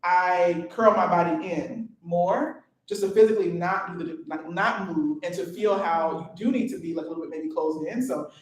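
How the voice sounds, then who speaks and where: A woman who is a poet and teacher, speaking on a live panel, excitable and quick to laugh as she tells stories. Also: lowish voice. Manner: excitable, laughing, gesturing storyteller. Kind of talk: panel talk. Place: event venue, live panel. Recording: very echoey, distant from the mic.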